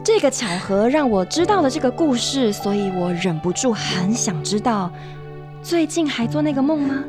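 A candid, flighty young-at-heart woman tells her story in a high voice. She confides expressively in radio drama style, with music clearly playing underneath. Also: big pitch swings, moderate pace. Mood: excited, urging. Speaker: narrator and character in a radio drama. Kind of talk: radio drama narration and dialogue.

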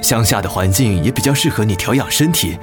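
An actor with a Taiwanese accent playing a middle-aged husband in a radio drama, earnest, gentle and apologetic as he tries to win back his wife. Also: lowish voice, expressive, fairly quick, close to an everyday voice. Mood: earnest, encouraging. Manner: gentle, pleading. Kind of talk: Mandarin radio drama acting. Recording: music bed under the voice.

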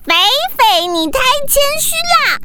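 Tiny excited sea critter kid, crazy high voice, kids drama, loud noise behind. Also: female voice, unhurried, exaggerated voice. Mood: admiring, excited. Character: tiny excitable admiring young sea-creature schoolchild. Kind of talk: children's audio drama acting.

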